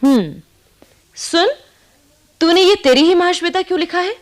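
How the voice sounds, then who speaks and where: A woman's voice: very high-pitched, lively intonation, normal speed. A woman playing a spirited young bride who banters with her close friend in a radio play, teasing and mock-scolding. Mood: mock reproach. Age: young adult. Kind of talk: Hindi radio play acting.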